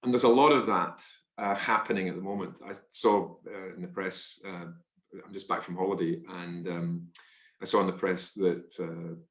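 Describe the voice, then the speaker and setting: A man's voice: deep voice, steady tone, moderate pace, reverberant. A man with a congested, stuffy nose, presenting to investors over a video call in a chatty, self-deprecating way, with many ums and restarts. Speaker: fund manager presenting a webinar.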